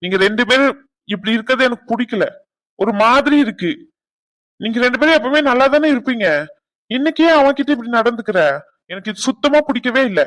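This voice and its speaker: A woman voicing a grandmother who gently coaxes and questions her granddaughter. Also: on the high side, brisk, long pauses, pitched below the performer's own voice, lightly acted, poor audio. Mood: reproachful. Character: soft probing grandmother.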